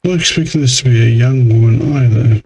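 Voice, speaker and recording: A man's voice: mid-range voice, brisk, a little mellow, husky, low-quality recording. A man giving casual let's play commentary in a grumbling, exasperated, mildly sweary way. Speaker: gaming video host.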